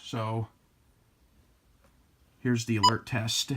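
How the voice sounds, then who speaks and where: A man's voice: fairly low pitch, monotone, very slow, heavy background noise. A man with an American accent casually presenting items from his hobby collection, as in a home product show-and-tell.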